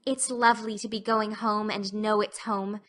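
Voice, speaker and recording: A woman's voice: medium pitch, level tone, moderate pace, fairly bright tone, lightly acted. A woman voicing an earnest, dreamy, talkative orphan girl with effusive, flowery speech in an audiobook. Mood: happy. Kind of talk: audiobook acting.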